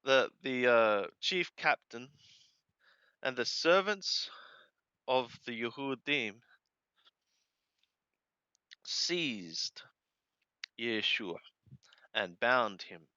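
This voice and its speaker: A man reading scripture aloud and translating it phrase by phrase, in a deliberate, halting way with careful enunciation. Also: medium pitch, expressive, bright, forceful tone, smooth, no echo, muffled recording. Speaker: Bible reader and translator.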